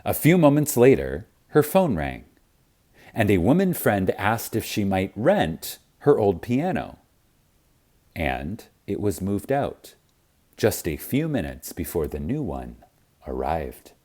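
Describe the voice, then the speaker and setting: Deep-voiced, slow, reverent male narrator. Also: big pitch swings, a little mellow. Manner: reverent, emphatic, with deliberate dramatic pauses. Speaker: audiobook narrator. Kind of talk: scripted audiobook reading of a spiritual teaching.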